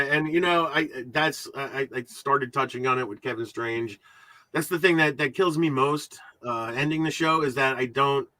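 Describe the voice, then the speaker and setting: A man hosting a podcast in casual, rambling, halting talk, often repeating his words and swearing freely. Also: fairly high pitch, sharp tone, no room sound. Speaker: podcast host.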